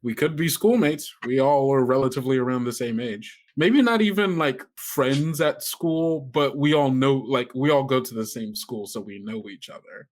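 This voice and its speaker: A man's voice: medium pitch, normal speed, few pauses, a little mellow. A man planning a tabletop game story casually with friends, often restarting his thoughts as a podcast player. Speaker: player in an actual-play podcast.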